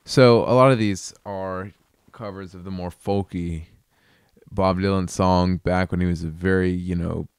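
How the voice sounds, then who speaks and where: A man hosting a casual video, chatting about an album in a relaxed, amused, rambling way. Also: sits low, unhurried, clean tone, dry recording, clean studio sound. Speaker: video host reviewing an album. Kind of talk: casual commentary.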